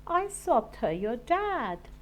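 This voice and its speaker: A woman's voice: sits high, very animated, takes it slowly, voice pushed up, heavily acted. A woman voicing a cheeky, offhand young boy in a children's storybook. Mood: matter-of-fact. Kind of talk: storybook character voice.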